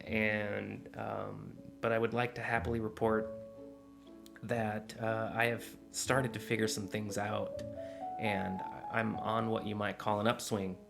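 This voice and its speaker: A man's voice: fairly low pitch, gravelly. A man in his forties talking earnestly in a casual confessional vlog, rambling with fillers and restarts, recorded on a desk mic in a small dim room at home. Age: 40s. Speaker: vlogger. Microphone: desk mic in front, close camera framing.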